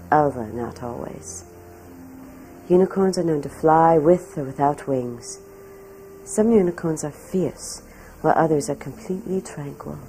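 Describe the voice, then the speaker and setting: A woman narrating a documentary from a script in a measured way, often posing questions. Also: deep voice, dark tone, poor audio.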